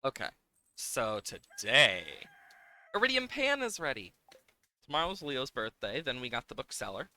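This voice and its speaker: A man's voice: medium pitch, big pitch swings, moderate pace, sharp tone. A man giving casual, playful live commentary on a game, thinking aloud as he plays, with game music behind him. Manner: playful, hums and makes sound effects while talking.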